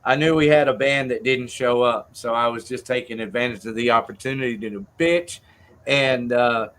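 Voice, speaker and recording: A man's voice: mid-range voice, moderate pace, fairly bright tone. A man hosting a livestream with casual, crude and irreverent banter. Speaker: show host.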